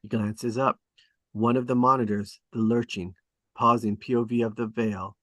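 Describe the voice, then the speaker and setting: A man reading stage directions aloud at a script table read, in a deliberate, descriptive way. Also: medium pitch, steady tone, a bit bright, no echo.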